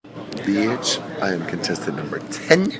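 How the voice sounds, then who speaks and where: A man with almost no voice left, sick and hoarse, films himself on a phone held at arm's length in a hotel room. His voice sits very low, and clear hiss runs under it. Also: huge pitch swings, a little slow. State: lost voice, sick. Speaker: pageant contestant. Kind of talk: phone selfie video diary.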